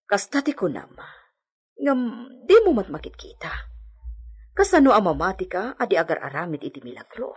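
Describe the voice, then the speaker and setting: An actress playing a gossipy, motherly household servant in a radio drama, fussing in a husky voice. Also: mid-range voice, very animated, moderate pace, long pauses. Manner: nagging, confiding, fussing.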